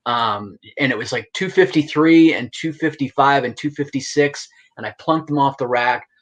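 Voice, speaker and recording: A middle-aged man speaks as an interview guest in a medium-pitched voice. He explains things casually and with enthusiasm. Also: moderate pace. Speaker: guest, actor and writer.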